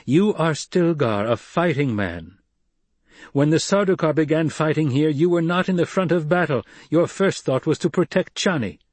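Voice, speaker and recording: A man voicing an intense young male desert leader in an audiobook, speaking with emphatic, deliberate command. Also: fairly high pitch, animated, a little mellow, no room sound, pitched above the performer's own voice. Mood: challenging.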